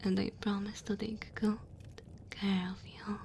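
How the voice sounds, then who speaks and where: A woman voicing a lonely, caring dragon in an intimate, tender ASMR roleplay. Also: mid-range voice. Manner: slow, tender, breathy murmuring. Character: dominant but caring, lonely female dragon. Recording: steady ambient fire and cave noise. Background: crackling fire ambience.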